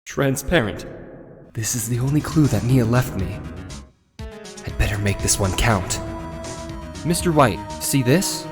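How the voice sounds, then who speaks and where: An earnest young male attorney from a game drama, played by a man. He is dogged and expressive, his mid-range voice moving freely up and down. Music plays faintly underneath. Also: mid-range voice, unhurried, pauses often, lightly acted. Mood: determined confrontation. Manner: musing aloud, then pointed and accusatory.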